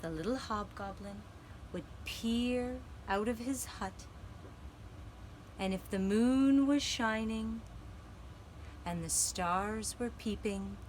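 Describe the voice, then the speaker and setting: A woman telling a gentle children's story for a puppet show, with deliberate, dramatic phrasing and pauses. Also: fairly high pitch, slight background noise, rough recording.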